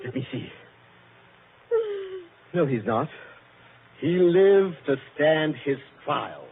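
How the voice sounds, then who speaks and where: An actor playing a debonair, jaunty British gentleman detective, polite and theatrical, in a 1940s radio thriller. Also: a little high, big pitch swings, takes it slowly, gravelly, phone-quality sound, full character voice. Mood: tense, theatrical. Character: debonair, courteous gentleman detective, cool under danger. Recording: noisy, band-limited old radio recording.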